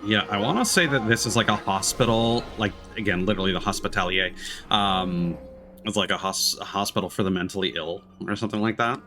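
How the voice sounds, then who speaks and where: A man's voice: lowish voice, a little fast, pushed and bright, faint music behind. A man giving casual commentary on a gaming livestream, musing and thinking aloud as he goes. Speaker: game streamer.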